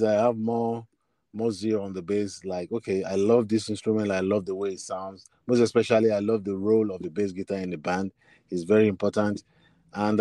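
A man's voice: lowish voice, mellow, no echo. A man with a Nigerian accent answering as a musician guest in an interview, hesitant and often repeating words as he looks for his phrasing. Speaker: musician guest, bassist and singer. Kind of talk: interview answers, personal storytelling.

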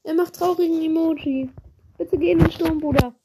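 A woman with a slight cold, giving excitable, chatty commentary on her own gameplay, swearing and apologizing as she plays. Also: very high voice, normal speed, background noise. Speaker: gaming video creator.

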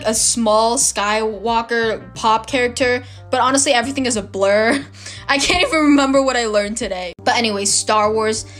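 A woman's voice: on the high side, fairly bright tone. Chatty, dramatic teenage girl. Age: teens. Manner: chatty, dramatic, self-mocking. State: slightly losing her voice. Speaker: podcast host. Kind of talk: casual solo podcast chat. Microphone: phone.

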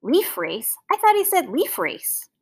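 A woman's voice: high voice, wildly swooping pitch, measured pace, pitched above the performer's own voice, heavily acted. A woman voicing a mischievous young child in a storybook, with a mock-innocent, sing-song delivery. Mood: mock puzzled.

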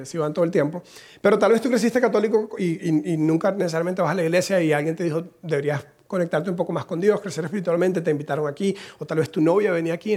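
A male pastor delivers a chatty sermon, his voice slightly hoarse from a cold. He jokes at his own expense between points, and his speaking voice sits somewhat high for a man. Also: darkish tone. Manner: humorous, self-deprecating, chatty asides. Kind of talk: conversational sermon. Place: church in Costa Rica.

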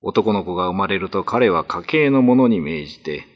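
A man's voice: sits low, expressive, moderate pace, highs cut off. A man narrating a classical ghost story for radio drama in a theatrical, ominous, scripted style. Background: music.

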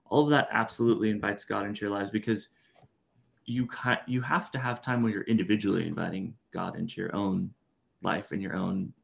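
A man's voice: fairly low pitch, fairly even intonation, a little fast, gravelly. A man co-hosting a podcast, talking thoughtfully about faith and marriage in a rambling way with many fillers. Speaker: podcast co-host. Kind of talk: casual podcast chat.

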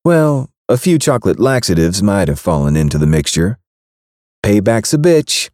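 A man's voice: on the low side, very animated, moderate pace, darkish tone, dry recording, studio quality, pitched above the performer's own voice. A man voicing a sly, playful young woman who teases with coy answers. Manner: teasing, coy.

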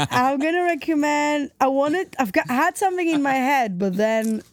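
A woman's voice: high-pitched, lively intonation, moderate pace, dry recording, clean studio sound. A young woman with a non-native English accent co-hosting a podcast in a rambling, giggly, tipsy chat. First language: not English. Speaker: podcast co-host. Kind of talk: casual chat.

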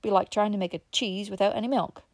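A woman reading an audiobook voices a fat, folksy old country man who talks in rural dialect, loud and excitable. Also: mid-range voice, fast talker, no room sound, studio quality, natural voice. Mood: insistent.

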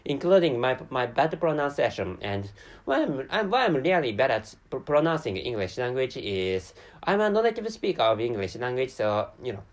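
A man from Japan with a Japanese accent, a native Japanese speaker, giving an unscripted podcast monologue in a very halting, rambling way with stammered repeats of words and syllables. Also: mid-range voice, sing-song. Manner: rambling and digressive. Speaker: podcast host.

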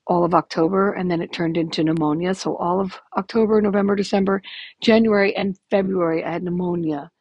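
Raspy, gravelly-voiced woman in her fifties. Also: on the low side, steady tone, a little fast, no echo, muffled recording. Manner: rambling, candid, frequent ums. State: hoarse from illness. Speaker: podcast host. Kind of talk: casual personal update.

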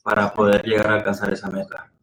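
A man's voice: lowish voice, monotone, fast, a bit bright. A man explaining his work as a client advisor in an earnest, conversational way, repeating words as he looks for phrasing. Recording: echoey room sound.

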